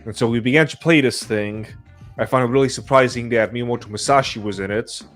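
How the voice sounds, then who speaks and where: A man's voice: medium pitch, fast talker, fairly bright tone, faint music behind. A man hosting a podcast in casual, conversational chat. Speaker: podcast host.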